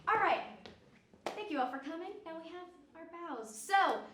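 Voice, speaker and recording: A woman's voice: extremely high pitch, lively intonation, normal speed, background noise. A young woman giving an informal, slightly hesitant thank-you speech to an audience as host and director. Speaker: student director hosting curtain call. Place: large indoor hall, crowd behind. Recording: echoey hall, distant mic, loud crowd.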